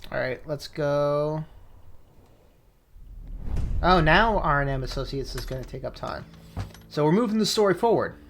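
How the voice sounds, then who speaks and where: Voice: mid-range voice, lively intonation, moderate pace, long pauses. A game player giving casual commentary while reading a story game aloud. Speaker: video game player and narrator. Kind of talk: casual let's play commentary.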